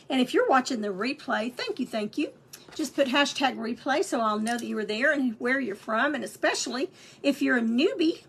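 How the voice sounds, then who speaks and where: A woman with a slightly raspy voice, chatting through a live painting lesson with many ums and restarts. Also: high-pitched, fairly bright tone. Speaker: painting instructor and shop owner.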